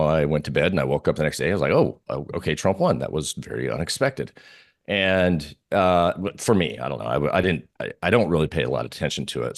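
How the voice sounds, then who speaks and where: A man giving casual market commentary as an interview guest, informal and often repeating himself as he thinks aloud. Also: deep voice.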